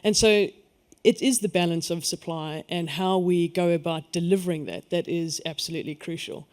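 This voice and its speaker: A man's voice: on the high side, steady tone, moderate pace, smooth. A man with a South African accent speaking on a conference panel, stressing key words and then letting his voice fall away. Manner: emphatic, lifts his voice on key points then trails off. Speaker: energy industry panelist.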